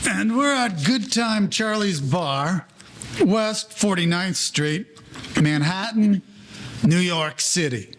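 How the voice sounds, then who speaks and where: A man's voice: high-pitched, lively intonation, takes it slowly, a bit bright, slight background noise, heavily acted. A man playing a wry 1940s Broadway storyteller, narrating a radio play in colloquial present tense. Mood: wry, storytelling.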